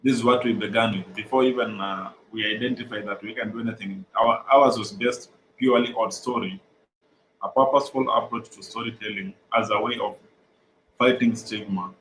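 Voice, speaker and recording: A young Kenyan man whose first language is Swahili, earnestly explaining his organization's programs over a video call, with frequent "uh" pauses. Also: on the low side, fairly even intonation, fairly bright tone, roomy sound, a little hiss or hum, poor audio. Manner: earnest, many "uh" pauses, repeats phrases. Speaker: guest, mental health organization co-founder.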